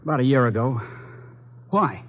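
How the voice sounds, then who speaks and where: A muffled vintage radio recording of an earnest young man in custody played by a man. His voice is husky and middling in pitch, guarded and loyal in the old radio acting manner. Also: animated, normal speed, natural voice. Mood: troubled, guarded. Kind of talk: 1940s radio drama acting.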